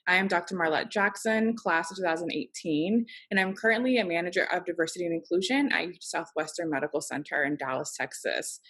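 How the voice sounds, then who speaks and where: Woman host, 30s, upbeat formal intro delivery, lowish voice, laptop mic on a video call. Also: a little fast, few pauses, a bit bright, slightly rough. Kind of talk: scripted interview introduction. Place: home office, video call. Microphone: video call computer mic.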